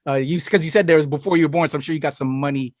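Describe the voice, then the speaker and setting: A man hosting a podcast interview over a video call, with hype-man energy and loose, chatty shout-outs. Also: a little high, fairly even intonation, rapid-fire, no room sound. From United States. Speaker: podcast host. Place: home room full of toys and collectibles.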